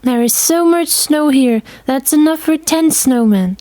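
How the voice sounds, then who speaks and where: A woman's voice: sits high, measured pace, warm tone, no room sound, voice pushed up. A woman voicing a playful, fearless young girl who teases her friend in a storybook audio play. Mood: teasing, confident. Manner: exuberant, teasing.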